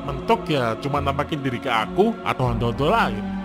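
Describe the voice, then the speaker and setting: A man's voice: medium pitch, sing-song, fairly quick, natural voice. A man voicing a greedy, smug property boss, a gloating and mocking villain in a comic horror cartoon. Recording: music playing behind.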